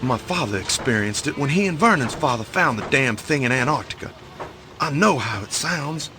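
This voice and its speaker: Actor as Hugh, earnest, animated male adventurer. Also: mid-range voice, normal speed, over music, lightly acted. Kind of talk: audio drama acting.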